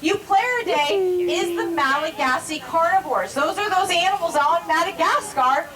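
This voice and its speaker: A woman's voice: very high-pitched, moderate pace, distant mic, a crowd in the background. A woman giving a live, informal educational animal presentation, explaining things directly to onlookers. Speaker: zoo animal show presenter.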